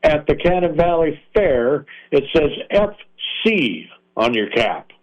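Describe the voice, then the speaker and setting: A man's voice: on the high side, very animated, slow pace. A man hosting a casual radio interview, friendly with upbeat interjections. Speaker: radio host. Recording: dull AM radio broadcast sound.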